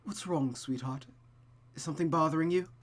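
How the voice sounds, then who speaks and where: A man's voice: on the high side, a little fast, natural voice. Man reading as a cold, needling mother. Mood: probing, stern. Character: cold, needling, controlling mother in a visual novel.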